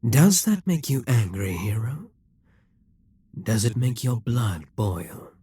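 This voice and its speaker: A man voicing an immortal, scheming and possessive demon king in an audio roleplay, speaking in a measured, persuasive way with quiet whispered asides. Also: medium pitch, sing-song, husky, no room sound, clean studio sound, full character voice.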